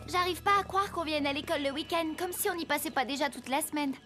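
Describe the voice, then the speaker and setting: A woman voicing an eager young schoolgirl friend in an animated series dub, full of exclamations. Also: high voice, quick pace, music in the background, close to an everyday voice. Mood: grumbling.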